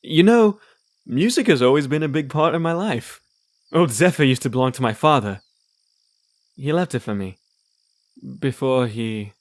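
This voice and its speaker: A man's voice: mid-range voice, expressive, normal speed, long pauses, no echo, natural voice. A man playing a charming, playful demon who is a wandering bard in an audio drama, theatrical, flirtatious and breezy. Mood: wistful.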